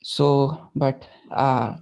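Young man hosting, slow and gravelly. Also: medium pitch, fairly even intonation, darkish tone. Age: young adult. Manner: hesitant, filler-heavy, laughs often. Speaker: webinar host. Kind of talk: hosting a webinar. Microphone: video call with headset. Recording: dull lo-fi call audio.

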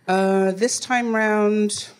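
A woman's voice: medium pitch, fairly even intonation, drawn-out words, clear, resonant tone. Laughing London woman on a stage panel. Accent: London English. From London, England. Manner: chatty and laughing often, with many fillers. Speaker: panel guest. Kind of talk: live panel conversation. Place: theater stage in front of a live audience. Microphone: handheld mic.

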